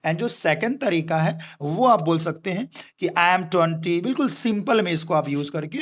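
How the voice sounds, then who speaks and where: Young indian guy teaching basic english, high voice, keeps saying okay guys, drags out the example lines. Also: lively intonation. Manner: explains slowly, repeats "okay guys", drawls example sentences. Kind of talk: spoken English lesson.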